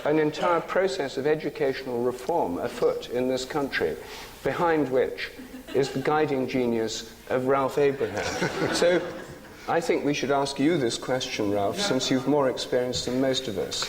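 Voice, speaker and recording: A man's voice: medium pitch, lively intonation, moderate pace, a little hiss or hum. Man on a panel in an echoing hall. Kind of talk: informal public discussion. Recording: long hall reverb, audible crowd.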